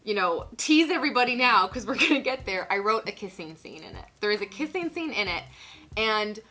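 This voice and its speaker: A woman in her twenties hosting a casual comedic vlog, giggly, animated and self-mocking, sometimes mock-solemn as she reads aloud. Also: mid-range voice, brisk. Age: 20s. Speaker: vlog host reading own teenage writing. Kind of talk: casual comedic vlog chat with dramatic reading.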